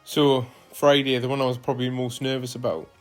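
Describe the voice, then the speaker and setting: A man narrating footage of his own rides as a cycling vlogger, relaxed and hesitant, with frequent fillers. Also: medium pitch, a little fast, soft background music. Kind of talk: casual voice-over narration of ride footage.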